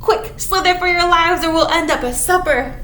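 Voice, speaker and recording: A woman voicing a bunch of fussy, excitable little snails from a picture book, exclaiming urgently. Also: very high voice, moderate pace. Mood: panicked. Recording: roomy echo with background noise.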